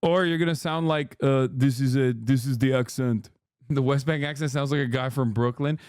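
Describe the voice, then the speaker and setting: A man's voice: medium pitch, steady tone, normal speed, a little mellow, smooth, no echo, studio quality. A man in his thirties streaming casual commentary, reading news text aloud and mocking it with sarcastic, profane asides.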